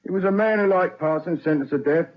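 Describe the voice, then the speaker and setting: An actor playing a dutiful, blunt prison warder in an old radio drama, plain-spoken and respectful of rank. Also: male voice, fairly high pitch, quick pace, lightly acted. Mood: sober, subdued. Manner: deferential to superior, plain-spoken. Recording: roomy, muffled, noisy old radio recording.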